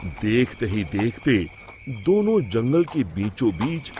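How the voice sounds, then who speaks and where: A man's voice: medium pitch, big pitch swings, takes it slowly, noisy background. A man narrating a scripted children's story in a dramatic storyteller style.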